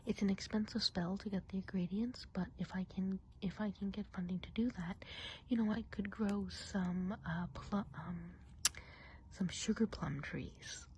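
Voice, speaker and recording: Softly whispering, gossipy witch played by a woman. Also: medium pitch, fairly even intonation, moderate pace, slight background noise, lightly acted. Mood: dreamy, musing. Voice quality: soft breathy whisper. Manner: gossipy, confiding, hesitant casual phrasing. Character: chatty, gossipy woodland witch planning a fairy party. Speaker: ASMR performer. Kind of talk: whispered ASMR roleplay.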